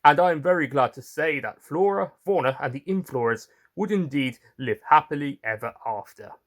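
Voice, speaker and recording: A man's voice: a little high, animated, normal speed. A man narrating a first-person story theatrically and in character, with chatty asides to the listeners. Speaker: writer and narrator reading in character.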